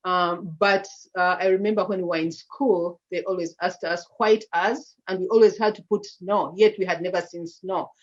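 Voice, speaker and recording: Ugandan woman with a lowish voice. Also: steady tone, normal speed, few pauses, dull audio. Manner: hesitant, many fillers and restarts. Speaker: nonprofit founder presenting slides. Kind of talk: online slide presentation.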